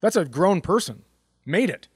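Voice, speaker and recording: A man's voice: high voice, very animated, normal speed, a little hiss or hum. A man co-hosting a comedy podcast, chatting casually with blunt, profane, joking delivery.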